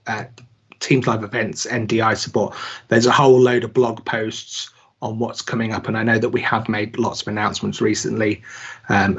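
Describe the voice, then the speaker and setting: A man's voice: lowish voice, creaky, fairly bright tone, slightly rough. A male guest speaker answering questions on a video call, hesitant with many restarts. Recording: dull video call audio.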